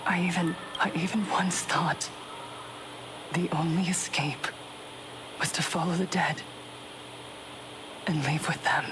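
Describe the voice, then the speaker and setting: A woman voicing a serene young leader of a devout society in a video game, speaking softly and breathily with solemn, theatrical pauses. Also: fairly low pitch, fairly even intonation, noisy background. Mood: anguished, hushed. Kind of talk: scripted game dialogue. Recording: game audio with prominent background music.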